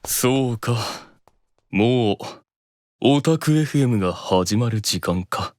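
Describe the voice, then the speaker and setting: A man plays a proud demon eldest brother from an anime drama. He is cool, stern and deliberate, commanding every line with unhurried composure. His mid-pitched voice moves expressively. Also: expressive, a little mellow, no room sound, studio quality. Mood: suspicious, stern. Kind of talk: anime audio drama acting.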